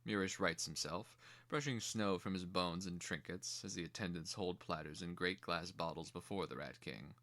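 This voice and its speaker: A man's voice: low voice, moderate pace, few pauses, fairly bright tone. A man giving scripted dramatic narration as the narrator of a fantasy screenplay reading.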